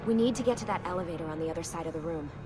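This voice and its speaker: A woman's voice: lowish voice, very fast, natural voice. A woman with an American accent playing a capable, commanding young escape leader in a video game. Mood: guarded, hurried. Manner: terse, commanding. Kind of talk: naturalistic video game dialogue. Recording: game music behind voice.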